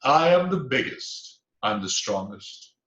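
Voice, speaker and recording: A man voicing a big, boastful female bear in a storybook tale, booming and laughing heartily. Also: very animated, takes it slowly, fairly bright tone. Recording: roomy, slightly dull recording.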